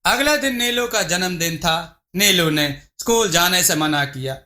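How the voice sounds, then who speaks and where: A man narrating a scripted moral story as a storyteller, in a measured, dramatic manner. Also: high voice, animated, sharp tone.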